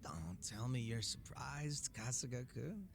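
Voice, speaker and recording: An actor playing a laid-back, sly gangster boss with a soft heart in a video game, speaking in a casual, teasing drawl. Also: male voice, medium pitch, animated, measured pace, vocal fry, over music. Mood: soft, sincere. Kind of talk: video game cutscene acting.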